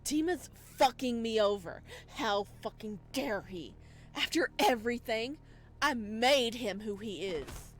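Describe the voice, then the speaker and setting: A woman's voice: fairly high pitch, lively intonation, takes it slowly, pitched above the performer's own voice. A woman playing an arrogant, unhinged male crime boss in a visual novel, emphatic and incredulous as they swear. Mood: enraged.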